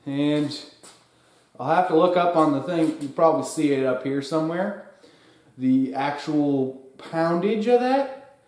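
A man's voice: a little high, unhurried, darkish tone, echoey room. A young man casually talking through tests in his garage workshop, thinking aloud as he works, recorded by a camera across the room. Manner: plain, thinking aloud while working. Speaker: DIY tester. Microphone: far camera.

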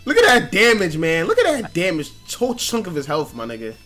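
A young man with a bright voice that swings widely in pitch, talking through a video game with friends. He is excitable, slangy and profane, and repeats his phrases. Faint music plays behind him. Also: fairly quick. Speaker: gaming YouTuber. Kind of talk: casual gameplay commentary with friends.

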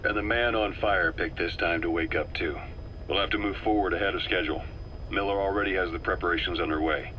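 A man acting a calm, calculating middle-aged intelligence operative in a video game, speaking in a measured, deliberate way. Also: low voice, a little hiss or hum, poor audio. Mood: grave. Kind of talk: dramatic game dialogue, briefing.

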